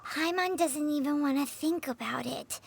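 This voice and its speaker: A woman's voice: high-pitched, normal speed, gravelly. A woman voicing a small, childlike, eager fairy companion in a video game. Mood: earnest. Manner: refers to self by name, exclaiming.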